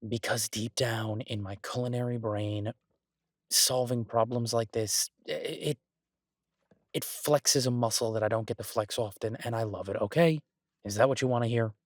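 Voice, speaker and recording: A man playing an exasperated but affectionate restaurant chef in an intimate boyfriend audio roleplay, talking conversationally with halting, stammered restarts. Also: medium pitch, steady tone, dry recording, studio quality, natural voice. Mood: sheepish, animated. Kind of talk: intimate boyfriend roleplay, one-sided casual dialogue.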